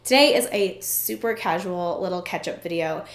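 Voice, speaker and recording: A woman's voice: fairly low pitch, moderate pace. A young woman with a stuffed-up, congested voice chatting casually to camera as a vlogger, bubbly and full of fillers, from Vermont. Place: indoors at a relative's home. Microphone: camera in front while seated. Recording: roomy echo.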